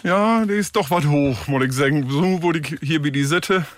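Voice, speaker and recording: A man's voice: a little high, moderate pace, no echo. Radio guest, middle-aged man from emsland, easygoing and friendly, dry close mic. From Emsland, northern Germany. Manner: friendly, plain-spoken, with little laughs. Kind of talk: casual radio interview. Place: radio studio. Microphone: studio microphone.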